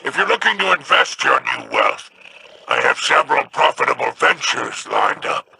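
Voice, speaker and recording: Gravelly greedy alien dealer, bright harsh edge, lively swoops. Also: male voice, mid-range voice, moderate pace, a little hiss or hum, heavily acted, rough recording. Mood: scheming. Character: greedy scheming male alien merchant boss.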